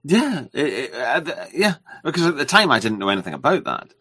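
A man's voice: medium pitch, very animated, a little fast, sharp tone, breathy edge, dry recording, clean studio sound. A man co-hosting a casual chat, speaking hesitantly and stumbling over his words. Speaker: co-host.

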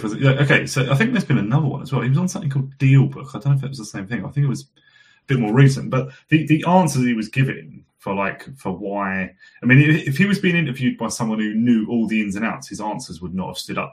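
A man talking as a guest on a casual podcast, his voice hoarse and fading from a cough. He speaks in a lowish register, rambling loosely with plenty of fillers and restarts. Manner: chatty, many fillers and restarts. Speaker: guest commentator.

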